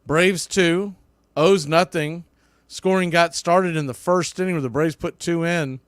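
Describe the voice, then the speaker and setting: A man with a fairly high voice works as a baseball announcer in a dry, close sound. He gives live radio commentary in short, clipped phrases, ready to lift his energy with the play. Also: unhurried, clear, resonant tone, no room sound. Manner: clipped play-by-play phrasing, bursts of excitement on hit balls.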